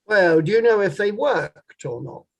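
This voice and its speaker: An actor playing a formal inquiry lawyer in a courtroom drama table read, putting measured, pressing questions to a witness. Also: medium pitch, expressive, lo-fi sound, slight background noise. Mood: formal, probing.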